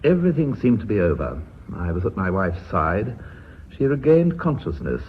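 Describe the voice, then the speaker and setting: An actor playing a genial, reflective British knight who heads a company, courteous and a little hesitant, in a radio drama. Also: male voice, on the low side, very animated, normal speed, husky. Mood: reflective, moved. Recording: dull radio recording with faint hiss.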